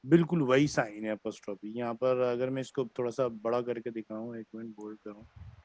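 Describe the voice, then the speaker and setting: A man with a Pakistani accent teaches French over a video call. He explains slowly and patiently, mixing Hindi-Urdu with English and pausing on fillers. His voice sits at a middling pitch, and the room sounds dry and close. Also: fairly even intonation, a little mellow, no room sound. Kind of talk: online class lesson.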